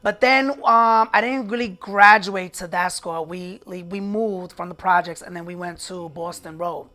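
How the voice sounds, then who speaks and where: A man's voice: sits high, normal speed, bright, forceful tone. A man in his thirties from the Bronx with a New York accent, chatting casually as an interview guest and correcting himself mid-sentence. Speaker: guest, singer and actor.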